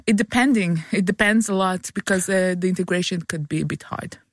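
A woman's voice: on the low side, a little fast, no echo, clean studio sound. A pregnant woman in her thirties from Israel, with an Israeli accent and Hebrew as her first language, chatting as a guest in hesitant English. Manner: hesitant, searching for English words. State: heavily pregnant. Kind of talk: casual chat.

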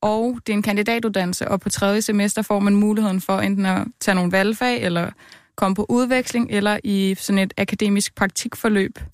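A woman's voice: medium pitch, fairly even intonation, dry recording. Young woman chatting, dry sound. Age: 20s. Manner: informal, mixes in English phrases. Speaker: interview guest, master's student. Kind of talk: casual chat.

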